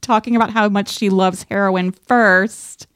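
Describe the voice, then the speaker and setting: A woman co-hosting a podcast in casual chat, retelling things in a wry, animated, slangy way. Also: mid-range voice, measured pace, clean tone, no room sound, clean studio sound. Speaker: podcast co-host. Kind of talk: casual book-discussion chat.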